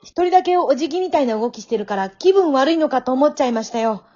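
Very high-pitched, polite young woman played by an actress. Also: animated, fast talker, warm tone, muffled recording. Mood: matter-of-fact. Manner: polite, matter-of-fact remarks. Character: polite, frank young woman contestant, calmly puzzled and observant. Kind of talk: amateur short radio drama acting.

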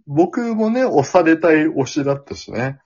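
A man's voice: fairly high pitch, lively intonation, moderate pace, a little mellow, slightly rough. A young man co-hosting a casual podcast, agreeable and giggly, reacting in repeated short phrases. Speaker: podcast co-host. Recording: dull lo-fi audio.

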